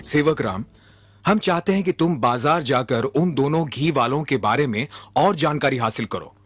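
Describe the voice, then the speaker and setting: A man playing a calm, shrewd royal adviser in a Hindi cartoon, measured and courteous. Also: medium pitch, slightly rough, soft background music. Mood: inquiring.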